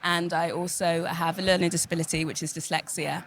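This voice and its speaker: A young woman in her twenties, a business student, answering interview questions hesitantly with many pauses. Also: lowish voice, little pitch movement, slight background noise. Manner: hesitant, many ums, soft-spoken. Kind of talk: podcast interview.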